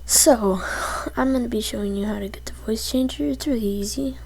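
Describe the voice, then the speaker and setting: A woman presenting a casual software tutorial as she goes through the steps on a computer. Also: on the high side, fairly quick, creaky, breathy edge, poor audio.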